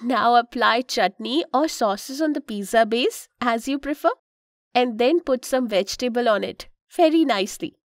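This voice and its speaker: A woman's voice: on the high side, lively intonation, normal speed, dry recording, studio quality. A woman voicing a kind, instructive mother in a children's cartoon, with an Indian accent and a patient, explanatory manner. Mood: explaining. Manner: patient, explanatory, gently cautioning.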